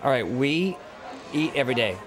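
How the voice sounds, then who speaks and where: A painter and photographer from Cuba giving short, blunt, earnest answers in an interview at an outdoor art event. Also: male voice, mid-range voice, lively intonation, normal speed. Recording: crowd chatter and music behind.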